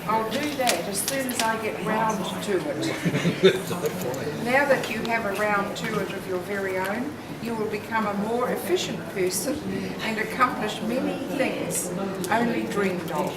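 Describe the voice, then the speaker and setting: A woman's voice: medium pitch, a little slow. A woman reading a text aloud to others. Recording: echoey, distant, loud crowd noise.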